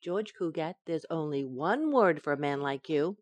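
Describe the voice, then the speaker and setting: Radio sitcom wife: woman actor, coy wisecracker, lowish voice, close dry sound, teasing delivery. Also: expressive, normal speed, no echo. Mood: mock indignant. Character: wisecracking, coy, affectionate young housewife. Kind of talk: old-time radio sitcom acting.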